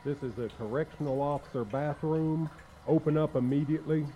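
A man's voice: on the high side, brisk, muffled recording, very noisy, close to an everyday voice. An actor playing a stern, curt male correctional officer giving clipped, formal orders in a comedy audio drama. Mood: commanding.

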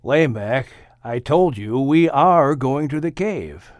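A man voicing a very old, patient great-grandfather who speaks slowly and solemnly in an audiobook story. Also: mid-range voice, animated, no room sound. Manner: slow, deliberate, solemn.

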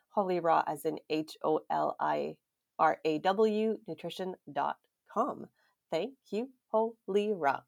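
A woman's voice: sits low, gravelly, a little mellow. A congested woman co-hosting a podcast, chatting casually and giggling. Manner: giggly, chatty with many fillers. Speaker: podcast co-host. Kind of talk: casual chat.

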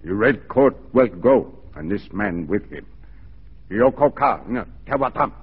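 An actor playing a proud, stern old Cree chief who speaks solemn, halting broken English in 1940s radio style. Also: male voice, lowish voice, expressive, breathy edge, full character voice. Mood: commanding. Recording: dull, muffled 1940s radio recording.

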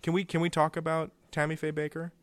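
A man's voice: a little high, completely flat delivery, quick pace, no echo, clean studio sound. A man hosting a casual interview, feeling his way into sentences and repeating his first words. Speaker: podcast interviewer.

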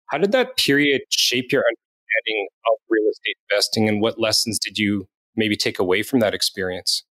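A man with a Canadian accent hosts a podcast interview and asks his guest questions in a friendly, conversational way. His voice sits a little lower than most men's. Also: normal speed, sharp tone, rough recording. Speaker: podcast host. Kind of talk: casual interview talk.

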